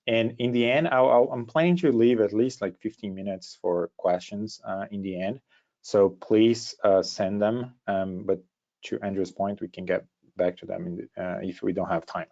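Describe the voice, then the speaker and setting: A man with a Brazilian accent presenting an informal webinar in a halting way, often repeating words as he goes. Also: fairly low pitch, steady tone, vocal fry, a little mellow. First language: Portuguese. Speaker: startup co-founder giving a presentation. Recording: dull, lo-fi online call audio.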